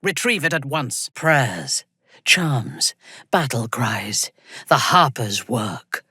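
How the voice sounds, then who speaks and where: Imperious female dark elf warlord for a fantasy game: slow, husky delivery, coldly menacing, ruthless and formal. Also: a bass voice, very animated, no echo, studio quality, heavily acted. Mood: grave, commanding. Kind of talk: video game character dialogue.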